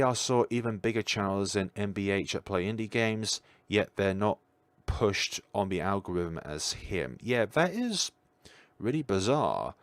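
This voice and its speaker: A man's voice: on the low side, dry recording. A tired man musing aloud in a casual livestream chat, answering his viewers' questions as he goes. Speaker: streamer.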